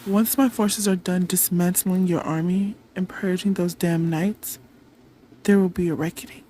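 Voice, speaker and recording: A woman playing a haughty, vengeful vampire queen in a roleplay monologue, imperious, taunting and deliberate in delivery. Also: medium pitch, dark tone, slight background noise, lightly acted, rough recording. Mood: angry, menacing.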